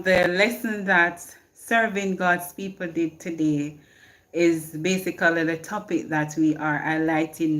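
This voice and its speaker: Jamaican woman, live-stream host, unhurried talk, reads viewer comments and laughs, phone mic. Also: fairly low pitch. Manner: reads viewer comments aloud and answers them, laughs often. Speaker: livestream host. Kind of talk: casual live-stream chat.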